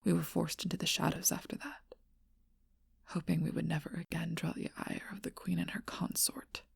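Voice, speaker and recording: A woman voicing an ancient, lonely, seductive female vampire in a whispered ASMR role-play, speaking slowly and softly into a close mic. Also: lowish voice, steady tone, gravelly, husky, dry recording, heavily acted. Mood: mournful whisper. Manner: soft intimate whisper, slow and measured.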